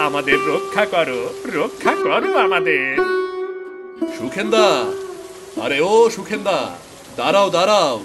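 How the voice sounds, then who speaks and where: Man playing a high-pitched, fretful village man. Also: lightly acted. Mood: despairing. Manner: dramatic, exclaiming, repetitive. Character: excitable, fretful village men facing flood. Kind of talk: Bengali cartoon moral story acting.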